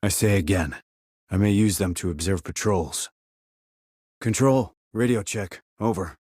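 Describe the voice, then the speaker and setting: An actor playing a terse, dry, confident military sniper in a video game, with a husky voice and clipped, controlled reports. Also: male voice, low voice, a little slow, darkish tone, no echo. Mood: relaxed, observant. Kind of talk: scripted military game dialogue.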